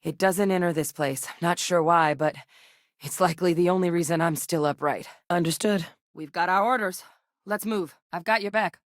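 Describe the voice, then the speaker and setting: Woman acting as a guarded space soldier-scientist lady for a game, lowish voice, dry and matter of fact, really close clean sound. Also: no room sound, close to an everyday voice. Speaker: video game voice actress. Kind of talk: sci-fi game character dialogue.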